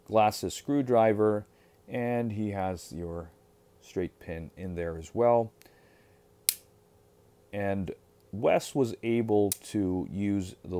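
A man casually showing a pocket knife to the camera at a table indoors, relaxed and unhurried, with fillers and trailing sentences. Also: low-pitched. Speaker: knife collector reviewer. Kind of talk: casual show-and-tell. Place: indoors at a cutting-mat table. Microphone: overhead camera on hands, voice from off-frame.

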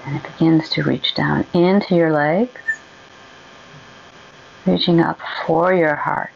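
A woman guides a meditation very slowly, in a gentle voice with a gravelly creak. She leaves long pauses between soothing instructions. The recording is slightly dull and muffled. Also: sits low, fairly even intonation, slight background noise.

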